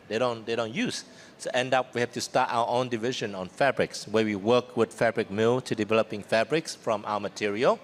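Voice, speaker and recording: Male founder presenting on stage, mid-pitched, informal simple phrasing, faint ambient noise behind. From Vietnam and New Zealand. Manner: informal, simple non-native English phrasing. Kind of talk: live business presentation. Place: seminar stage at a trade fair. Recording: noticeable ambient background noise.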